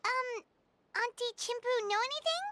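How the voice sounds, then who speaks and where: An actress playing a tiny, timid, childlike fairy companion in a video game. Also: female voice, very high-pitched, moderate pace, pushed and bright. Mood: hesitant.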